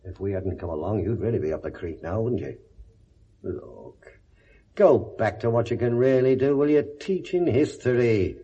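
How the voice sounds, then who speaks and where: An actor playing a blunt, world-weary police inspector with a British accent and a sardonic, lecturing manner in a 1970s radio drama. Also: male voice, on the low side, expressive, normal speed, dark tone, breathy edge. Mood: gruff, consoling. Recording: dull vintage radio recording.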